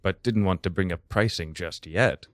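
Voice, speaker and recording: A man narrating an improvised mock-literary comedy story in a solemn, deliberate, halting way. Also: low voice, no echo, studio quality.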